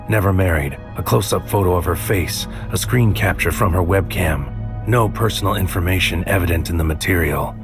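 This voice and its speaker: Deep-voiced, brooding sci-fi infiltrator. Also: male voice. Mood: dark, foreboding. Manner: deliberate, terse, brooding, poetic phrasing. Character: brooding, anxious male infiltrator narrating his surreal mind mission. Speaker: narrator and lead character. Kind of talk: scripted first-person noir sci-fi audio drama narration. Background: music under the voice.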